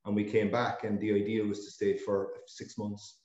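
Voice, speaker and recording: A man's voice: lowish voice, completely flat delivery, moderate pace, a little mellow. A man in his thirties with a Cork accent, a guest talking casually with many fillers and restarts, recorded in an office room. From Ireland. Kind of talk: casual interview. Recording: echoey lo-fi room.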